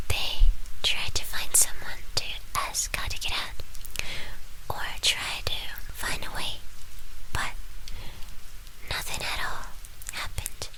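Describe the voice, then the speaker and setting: Slow, crisp whispering woman. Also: rough recording. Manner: hushed, drawn-out delivery in short phrases. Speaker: ASMR storyteller. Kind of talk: whispered ASMR storytelling.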